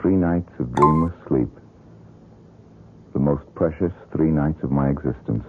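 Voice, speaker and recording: An actor playing an earnest, excitable painter with a husky voice, in old radio drama style. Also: male voice, very deep voice, unhurried, phone-quality sound, a little hiss or hum. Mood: quiet relief. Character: earnest, excitable, haunted struggling male painter. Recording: thin band-limited old radio broadcast.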